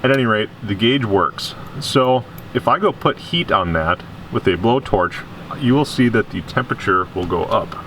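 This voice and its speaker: Low-voiced man over clear background noise. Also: expressive, moderate pace, fairly bright tone. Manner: informal, conversational. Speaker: car repair vlogger. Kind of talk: casual hands-on explanation. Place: indoor garage workshop. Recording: noisy garage with background hum.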